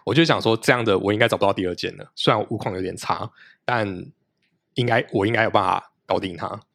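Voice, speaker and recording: A man's voice: fairly low pitch, quick pace, creaky, a bit bright, no room sound, clean studio sound. A man in his late thirties, a podcast guest in casual chat, explaining things at length in a practical, detailed way.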